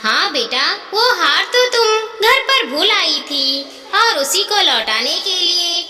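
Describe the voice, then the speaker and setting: A woman storyteller voicing a gentle middle-aged mother who explains plainly, in a moral story. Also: very high voice, big pitch swings, slow, distant mic, heavily acted. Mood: explaining. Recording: echoey room with long reverb.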